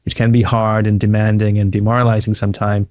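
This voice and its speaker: A man's voice: fairly low pitch, little pitch movement, fast talker, a little mellow, dry recording, clean studio sound. A man in his early fifties from Sri Lanka talking casually as a podcast guest. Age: early 50s. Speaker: interview guest, founder. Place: living room at home, indoors. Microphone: close desk microphone on a video call.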